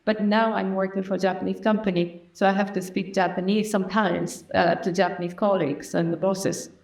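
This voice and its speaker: A woman's voice: mid-range voice, little pitch movement, brisk, gravelly, darkish tone. A woman hosting a casual podcast interview, chatty and eager, often chiming in with short replies. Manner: chatty, eager, frequent backchannels. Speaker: podcast host. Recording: roomy echoey room.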